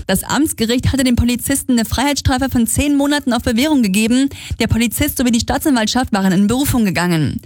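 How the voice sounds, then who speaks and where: Higher-voiced female radio newsreader. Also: fast, hardly any pauses, a little mellow, dry recording, clean studio sound. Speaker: regional news anchor. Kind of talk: scripted radio news reading. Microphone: close studio microphone.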